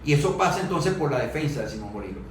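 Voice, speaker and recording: A man giving explanatory historical and political commentary, haltingly repeating words as he searches for phrasing. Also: mid-range voice, big pitch swings. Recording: echoey room, distant microphone, background noise.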